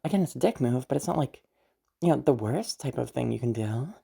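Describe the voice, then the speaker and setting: A woman playing a kindly, teasing young stranger in an intimate audio roleplay, speaking casually and hesitantly with a husky, creaky voice. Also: a bass voice, lively intonation, brisk, dark tone, heavily acted. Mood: frank, amused. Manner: hesitant, chuckling often, casual swearing. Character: kindly, teasing young female stranger comforting someone upset. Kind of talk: improvised-sounding intimate audio roleplay, one side of dialogue.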